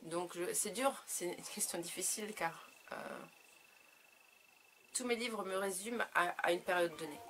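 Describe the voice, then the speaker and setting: A woman speaking her native French as an author in an interview, reflective and halting as she searches for words. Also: lowish voice. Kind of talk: reflective interview answers about own work.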